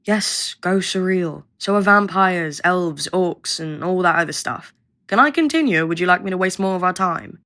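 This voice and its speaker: A woman's voice: on the low side, normal speed, a bit bright, slight background noise. A woman playing a curt, condescending government researcher who briefs a recruit with blunt, sardonic impatience in an audio roleplay. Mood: impatient explaining. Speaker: researcher guiding a new recruit. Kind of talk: audio roleplay acting, addressed to listener.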